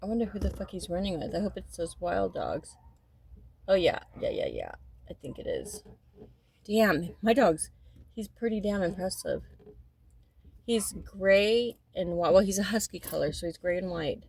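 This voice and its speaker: A woman's voice: on the low side, big pitch swings, normal speed, vocal fry, a little mellow, breathy edge. A woman in her fifties talking in a casual, rambling monologue, often repeating and restarting her sentences, and at times turning emphatic and indignant. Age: 50s.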